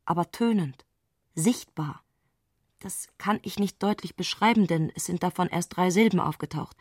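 An actress playing an eccentric, excitable woman speaking an intimate letter in intense, abrupt short sentences, in a literary radio play. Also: fairly low pitch, moderate pace, no echo, studio quality, close to an everyday voice. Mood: agitated wonder.

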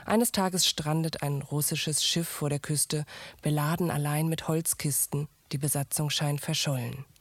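A woman's voice: deep voice, steady tone, a little slow, dry recording. A woman reading scripted narration that introduces a radio drama.

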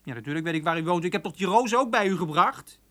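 A man's voice: high voice, animated, fast talker, a bit bright. Breezy young male office telephonist. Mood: chatty, flustered. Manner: chuckling, breezy, familiar. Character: chatty, cheeky young male office switchboard operator. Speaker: actor in a radio play. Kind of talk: naturalistic Dutch radio drama acting.

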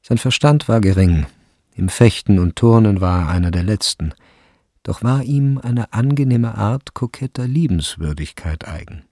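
A man with a husky, gravelly voice narrating a literary radio drama from a script. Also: lowish voice, lively intonation, moderate pace, mellow, no echo, studio quality. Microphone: close, dry studio recording.

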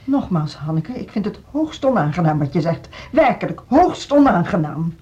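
An actress playing an imperious, defensive music school director in a Dutch radio drama, speaking in a brisk, formal, dismissive way. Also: female voice, lowish voice, sing-song, warm tone, husky, highs cut off, heavily acted. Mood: indignant.

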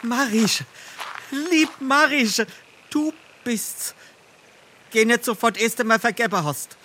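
A man's voice: very high voice, animated, slow pace, bright, forceful tone, exaggerated voice. An actor playing a naive, hot-headed young journeyman in love, earnest and blustery, in Darmstadt Hessian dialect comedy. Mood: remorseful pleading. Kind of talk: dialect stage comedy acting.

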